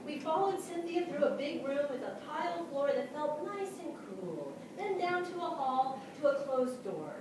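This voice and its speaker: A woman voicing an excitable, boastful male dog who narrates a story in a theatrical stage reading, with expressive, punchy phrasing. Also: sits high, moderate pace, hardly any pauses. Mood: boastful, comic. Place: small theatre stage, live audience. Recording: echoey theatre from far off, noisy.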